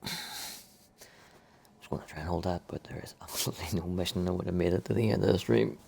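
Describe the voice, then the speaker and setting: A man's voice: low-pitched, fast talker, gravelly, dark tone, husky. A man giving casual, sweary commentary on a video game livestream, chatting to himself through a headset. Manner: sweary, chatty, talks to self.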